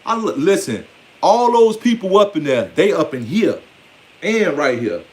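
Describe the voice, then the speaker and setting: American male, 40s, high-pitched voice, streetwise and emphatic, off-the-cuff monologue. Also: sing-song, unhurried, darkish tone. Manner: streetwise, emphatic, rambling, often swearing and quoting others. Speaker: online speaker. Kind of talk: informal spoken monologue to followers.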